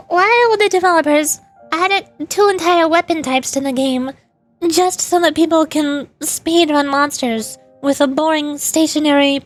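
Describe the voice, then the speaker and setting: High-pitched woman chatting as a streamer. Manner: chatty, opinionated, rambling. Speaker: VTuber streamer. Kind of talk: casual gaming commentary.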